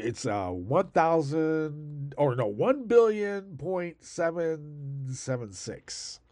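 A man hosting a political talk show, stuffed up from allergies, rambling and halting with many fillers. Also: medium pitch, darkish tone, dry recording. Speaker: podcast host. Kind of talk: casual political commentary.

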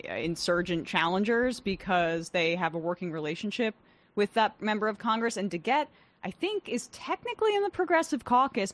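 A woman's voice: mid-range voice, fairly bright tone. A woman co-hosting a podcast, giving blunt and opinionated political commentary. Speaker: podcast co-host.

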